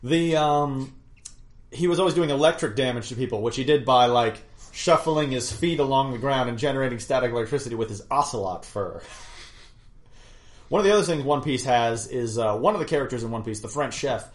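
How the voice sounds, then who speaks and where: A man's voice: mid-range voice, brisk. A man acting as game master, casually recapping an old campaign in a rambling, self-deprecating way. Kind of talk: casual podcast chat.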